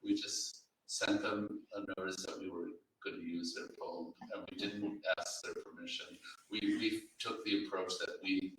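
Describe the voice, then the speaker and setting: A man speaking informally as an editor at a public literary event, in a hesitant, halting way with false starts. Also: sits low, flat delivery, a bit bright. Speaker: anthology editor and panel speaker. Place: reverberant library room, live event. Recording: very echoey room, distant mic, faint noise.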